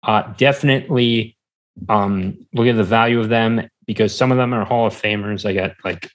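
A man chatting casually as an interview guest, halting and rambling, often repeating words as he goes. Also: on the low side, poor audio. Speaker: podcast guest, small-business entrepreneur.

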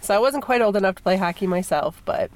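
A woman in her thirties from Minnesota hosts a casual podcast while nursing a cold. Her voice is congested and sits at a middle pitch. She rambles along with fillers and restarts. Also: expressive, fast talker, darkish tone. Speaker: podcast host. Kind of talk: casual chat.

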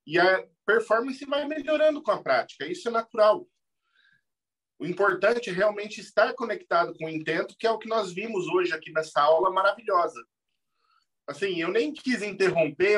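Husky, higher-pitched Brazilian man explaining. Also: fairly bright tone. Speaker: panelist and teacher. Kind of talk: casual closing remarks, explaining.

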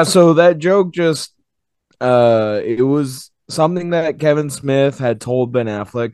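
A man with a dry, close-recorded voice hosts a casual movie review podcast. His voice is a little hoarse from wear, and he talks loosely, with some swearing. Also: mid-range voice, darkish tone, dry recording. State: hoarse, voice partly gone. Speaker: podcast host.

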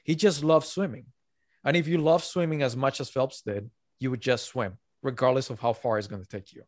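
A man's voice: mid-range voice, a little fast, no echo, clean studio sound. A man who is not a native English speaker, talking earnestly as an author guest on a podcast and repeating his key points. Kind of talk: podcast interview.

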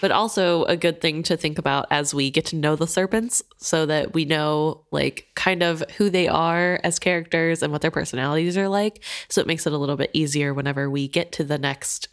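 A young woman co-hosting a relaxed book podcast, her voice a touch low and stuffy from allergies. She talks in a loose, chatty way, full of fillers and quick laughs. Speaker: podcast co-host. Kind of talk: casual book podcast chat.

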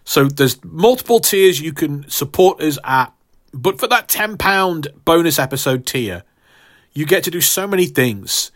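A man's voice: mid-range voice, a bit bright. A man hosting a podcast, talking straight to listeners in an emphatic, mock-grandiose way, casual but partly scripted. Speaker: podcast host.

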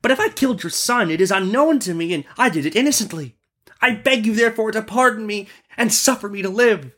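Man acting a high-pitched, pleading storybook merchant. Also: expressive, a little fast, fairly bright tone. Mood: anxious pleading. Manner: pleading, polite, formal storybook speech. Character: frightened, pleading male merchant, innocent and deferential.

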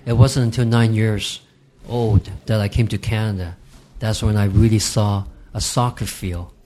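A man from Hong Kong, a real estate guest on a podcast, explaining market trends in a measured, emphatic way. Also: lowish voice, steady tone, dark tone. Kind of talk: podcast interview.

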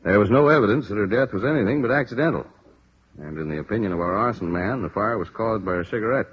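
An actor playing a businesslike American police lieutenant in a vintage radio drama, frank, firm, deliberate and emphatic. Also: male voice, lowish voice, animated, husky. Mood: matter-of-fact. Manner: deliberate, emphatic, matter-of-fact. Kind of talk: 1950s radio drama acting. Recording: muffled, hissy old radio recording.

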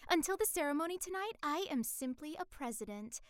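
A woman's voice: sits high, expressive, a little fast, dry recording, clean studio sound. A woman playing a gracious, poised young princess-to-be in a comedic sci-fi audio drama, with polite, theatrical delivery.

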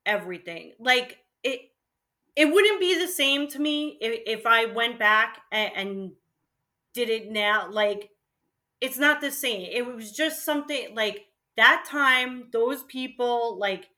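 A woman's voice: a little high, slow. A middle-aged woman reminiscing casually and emphatically as an interview guest, peppering her sentences with "like". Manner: animated, emphatic, peppers speech with "like". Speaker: interview guest, former college radio host.

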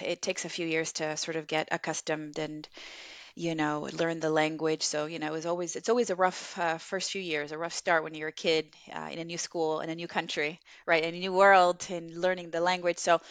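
A woman's voice: low voice, little pitch movement, normal speed, a bit bright, clean tone, no echo. A woman guest, a company co-founder originally from Chile, talking in a chatty way with lots of fillers and restarts. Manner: chatty, many fillers and restarts, laughs along. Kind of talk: casual interview.

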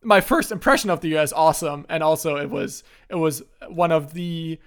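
A young man from Germany, casually telling stories as a podcast host, in a relaxed way with frequent restarts. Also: fairly high pitch, brisk.

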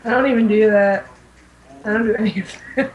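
A woman's voice: mid-range voice, steady tone, a little fast, dull audio. A woman co-hosting a podcast, chatting and bantering casually without a script. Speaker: podcast co-host.

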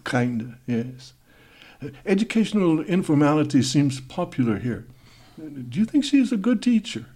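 An actor playing a formal, awkward young male Spanish exchange student in an audio drama, with a Spanish accent and a halting manner. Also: a little high, very animated, dark tone. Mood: stiffly charming.

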